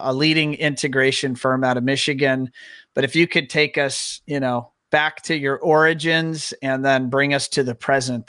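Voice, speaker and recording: A man hosting a podcast, reading a scripted introduction and then chatting in an upbeat, animated way with small restarts. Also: medium pitch, clear, resonant tone, dry recording, studio quality. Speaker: podcast host.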